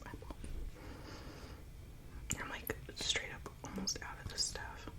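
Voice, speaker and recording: A young woman whispering through a makeup tutorial, rambling with plenty of fillers and chatting to her viewers. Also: takes it slowly. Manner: chatty, self-conscious, asks viewers for comments. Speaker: beauty vlogger.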